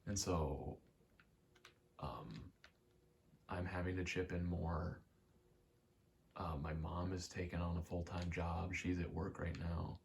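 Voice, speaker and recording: A young adult man in a quiet, casual ASMR-style ramble, speaking candidly and hesitantly, often trailing off. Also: sits low, fairly even intonation, slow pace, vocal fry, long pauses, a little hiss or hum. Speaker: video maker.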